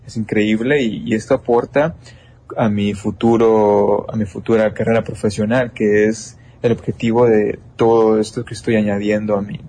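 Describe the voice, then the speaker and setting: A man's voice: fairly low pitch, little pitch movement, moderate pace, warm tone, slight background noise. A young man with a Mexican accent, an engineering student answering interview questions earnestly, with many restarts and fillers. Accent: Mexican Spanish. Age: young adult.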